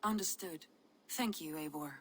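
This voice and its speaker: A woman playing a composed, wise Norse adviser in a video game, speaking in a measured, gently wry way. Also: low voice, husky. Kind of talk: dramatic video game acting.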